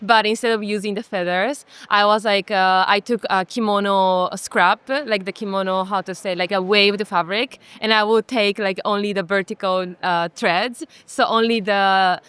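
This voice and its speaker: Young female design student from Japan, crystal-clear voice, casual, dry sound. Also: mid-range voice, fairly even intonation, moderate pace, hardly any pauses, fairly bright tone, no echo. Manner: uses "like" constantly, searches for words. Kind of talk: casual explanation of own work.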